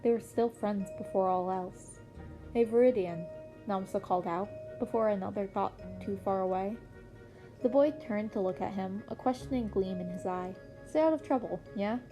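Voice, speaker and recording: A woman's voice: mid-range voice, steady tone, moderate pace. A woman reading scripted story narration as an amateur audiobook reader, with music playing underneath.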